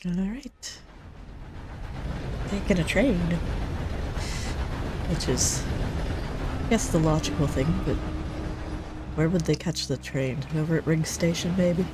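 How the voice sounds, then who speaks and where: Slow, stuffed-up woman commentator. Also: low voice, expressive, background noise. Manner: easygoing, hesitant. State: congested from a cold. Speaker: let's play host. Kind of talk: gameplay commentary. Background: game music.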